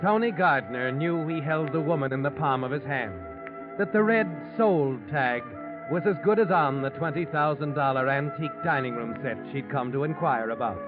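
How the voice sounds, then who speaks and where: An actor playing a mysterious, knowing storyteller in 1940s radio drama, addressing the schemer in an insinuating, ominous way. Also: male voice, on the high side, moderate pace, lightly acted. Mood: sly, knowing. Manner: insinuating, second-person taunting. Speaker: narrator of a mystery anthology. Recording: tinny phone-like vintage radio, music behind. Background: music.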